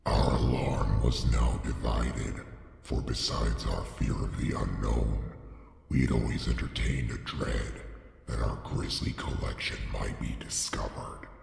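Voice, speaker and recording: A man reading a horror story as a scripted, dramatic narration, with a solemn and deliberate theatrical delivery. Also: very deep voice, steady tone, vocal fry, a little mellow. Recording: echoey, long reverb on the voice.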